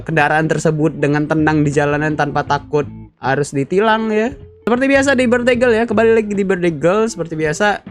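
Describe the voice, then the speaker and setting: A young man hosting a casual fan-commentary video, chatty and slangy, full of fillers and tag questions. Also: fairly high pitch. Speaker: YouTube channel host.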